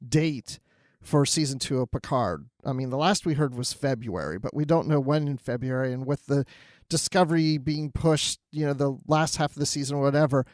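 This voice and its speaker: A man's voice: medium pitch, normal speed, darkish tone, no echo, studio quality. A man co-hosting a fan podcast in casual, conversational chat. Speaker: podcast co-host.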